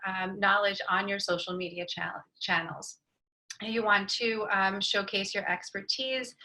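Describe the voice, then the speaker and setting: A woman's voice: mid-range voice, sharp tone, rough recording. A woman giving an informative panel presentation as a marketing expert.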